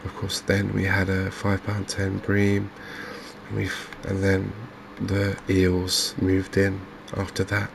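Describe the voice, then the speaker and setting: A man giving softly spoken, ASMR-style commentary as host of a gaming channel, in a halting, understated way. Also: deep voice, monotone, creaky, noisy background.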